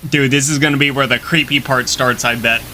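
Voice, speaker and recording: A man's voice: medium pitch, fairly even intonation, fairly quick, sharp tone, slight background noise. A young man hosting a reaction video with slangy, joking, exclamatory casual commentary.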